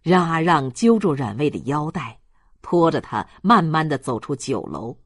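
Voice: medium pitch, very animated, normal speed, no echo. A narrator reading literary fiction aloud in a dramatic storytelling manner. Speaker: audiobook narrator.

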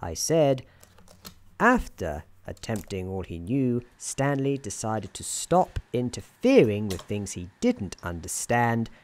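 A soft-spoken man giving a scripted ASMR roleplay narration in a dryly wry, deliberate storybook manner. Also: fairly low pitch, sing-song. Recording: keyboard typing and clicking noise. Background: keyboard typing, clicks.

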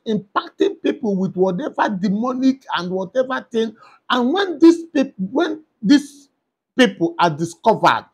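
A man's voice: extremely high pitch, husky. A man in his forties with a Nigerian accent, preaching to camera in an emphatic, exhorting way with repeated phrases and scripture quotations. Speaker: evangelist. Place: small indoor room at a desk.

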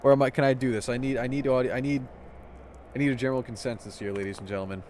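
A man in his twenties in a small room with a headset, casually commentating a live stream and chatting excitedly with viewers. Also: medium pitch, rapid-fire, a little hiss or hum. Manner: excitable, chatty, addresses chat.

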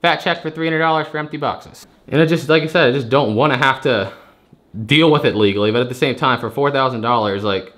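A man's voice: medium pitch, fast, a bit bright. Guy ranting, keeps circling back, fed up. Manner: frustrated, rambling, repeats himself. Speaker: storage unit buyer. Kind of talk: heated phone argument.

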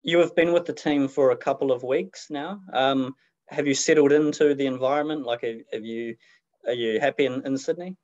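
A male reporter puts straightforward questions to a player. His voice is medium in pitch, and the recording sounds slightly dull and lo-fi. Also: steady tone, normal speed, darkish tone. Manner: plain, direct questioning. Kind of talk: press conference questions.

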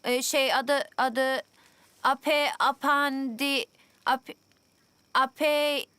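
A woman's voice: sits high, fairly even intonation, extremely slow, bright, forceful tone, dry recording, exaggerated voice. A woman voicing an eager, caring little boy in a children's cartoon dub. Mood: hesitant, worried.

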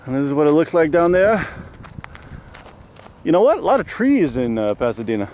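A man's voice: on the high side, very animated, a little fast, darkish tone, lo-fi sound. A young man chatting casually and jokily to a handheld camera on an outdoor hiking trail, a little out of breath. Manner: chatty, jokey, self-mocking. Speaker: vlogger. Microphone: handheld camera mic. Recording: outdoor camera audio with clear background noise.

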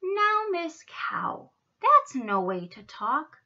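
Reassuring picture-book zookeeper, male role read by a woman: high, animated, gently encouraging, a bit lo-fi. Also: extremely slow, full character voice. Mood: kind, reassuring.

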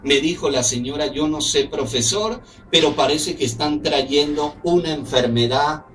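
A man's voice: a little high, a little slow, a bit bright, recorded from a distance. Earnest middle-aged man on radio, off-mic. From Colombia. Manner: earnest, indignant, speaks as a compatriot. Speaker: radio host. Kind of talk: radio talk show, reading a letter aloud. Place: small office studio with a desk.

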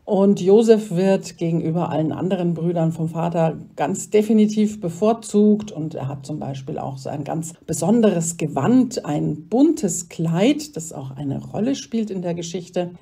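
Female pastor, theological explainer: husky, slightly low voice, interview delivery. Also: animated, measured pace, hardly any pauses, warm tone. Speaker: Protestant pastor, theological expert.

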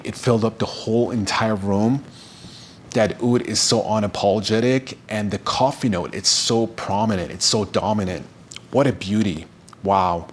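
A middle-aged man whose native language is Farsi speaks to camera in a fairly low voice. He reviews fragrances effusively, lingering over each description. The indoor recording carries some steady background noise. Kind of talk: enthusiastic product review to camera. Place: indoor room, seated.

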